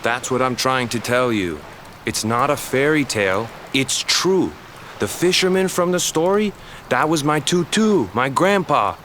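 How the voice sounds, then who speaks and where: A man's voice: mid-range voice, lively intonation, a little slow, slight background noise. An actor voicing an earnest young island fisherman in a video game, speaking in a plain, colloquial way. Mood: defensive. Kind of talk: scripted video game voice acting. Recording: loud game music behind the voice. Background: loud music.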